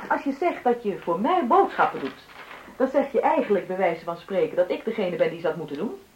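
Exasperated wife woman actor, radio play, lively pitch, argumentative bite, noisy old recording. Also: fairly quick, natural voice. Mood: bitter, sarcastic. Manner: argumentative, sarcastic, pointed. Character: exasperated, sharp-tongued wife, twenty years married.

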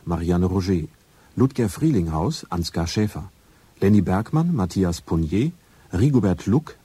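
A man's voice: deep voice, mellow, breathy edge, dry recording. A man reading a scripted credits announcement as a radio announcer.

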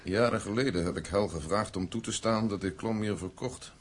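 An actor in a radio drama playing a stern, embittered old grandfather who speaks in a formal, measured, blunt way. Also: lowish voice, close to an everyday voice. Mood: bitter, cool. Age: older.

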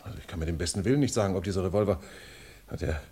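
A man's voice: deep voice, rapid-fire, dark tone, breathy edge. An actor playing an evasive, nervous businessman under suspicion, hesitant and defensive, in radio crime drama style. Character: evasive, nervous male suspect, indignant businessman and lover.

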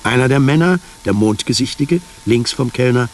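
A man narrating a science fiction radio play in a measured, detached, descriptive way. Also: mid-range voice, expressive, a little hiss or hum, natural voice. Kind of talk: scripted radio drama narration.

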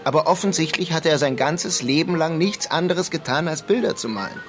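An actor playing a melancholy young German emigrant painter in New York, understated and wry, in a radio drama. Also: male voice, on the high side, expressive, brisk, music in the background, natural voice. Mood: reflective. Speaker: protagonist and narrator of a radio crime drama. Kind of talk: German radio drama, introspective narration and dialogue.

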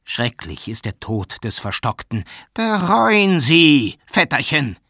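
A man's voice: mid-range voice, expressive, a little slow, slightly rough, dry recording, clean studio sound. A man voicing a polite but stubborn, wrinkled and pious cousin who pleads and preaches in an audiobook. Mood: indignant preaching. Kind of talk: audiobook character acting.

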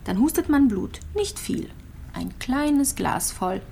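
An actress playing a lonely, gravely ill clinic patient in a radio drama, speaking plainly and wistfully. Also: female voice, sits high, sing-song, slow, mellow, a little hiss or hum, heavily acted. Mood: resigned, frank. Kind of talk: naturalistic radio drama monologue.

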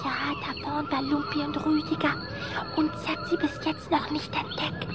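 A woman's voice: high voice, flat delivery, moderate pace, over music, close to an everyday voice. A woman playing a confident, protective little vampire girl in a German children's radio play, speaking decisively. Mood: hushed alarm.

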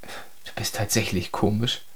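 An actor playing a reserved, dismissive doctor and adult son, terse and matter-of-fact, in a naturalistic radio drama. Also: male voice, fairly low pitch, steady tone, measured pace, husky, in a whisper. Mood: probing.

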